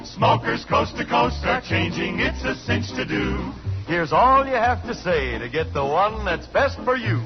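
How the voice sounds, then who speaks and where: A man's voice: high-pitched, expressive, measured pace, pauses often, highs cut off, over loud music. A man with an American accent singing a 1950s radio jingle.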